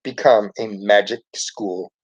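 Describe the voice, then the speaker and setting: A man reading a children's storybook aloud in an expressive way. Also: lowish voice, slow, husky. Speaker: storybook reader. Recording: dull lo-fi recording.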